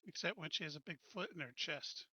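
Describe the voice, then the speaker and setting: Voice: medium pitch, quick pace, bright, forceful tone, husky, no room sound, lo-fi sound. A tabletop game player bantering casually, joking and swearing freely. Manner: joking, profane.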